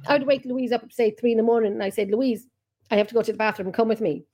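Chatty Irish woman with a mellow voice. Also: fairly high pitch, fast talker. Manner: chatty, rambling, self-interrupting storyteller. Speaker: podcast host and paranormal investigator. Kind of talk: casual chat over video call. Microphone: video call.

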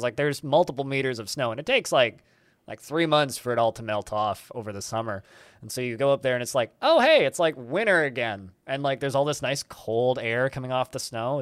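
A man's voice: medium pitch, a bit bright, no room sound. Podcast guy hosting, rambly and laid back, super dry close mic. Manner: rambling, laid-back storyteller with many fillers. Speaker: podcast host. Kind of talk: casual chat.

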